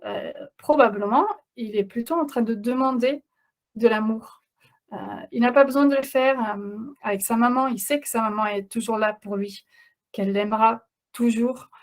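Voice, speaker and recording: A somewhat high-pitched woman coach presenting in a webinar. She is not a native speaker and talks in a halting way, full of pauses, fillers and restarts as she explains her examples. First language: not French. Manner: hesitant, many fillers and restarts. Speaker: parenting coach.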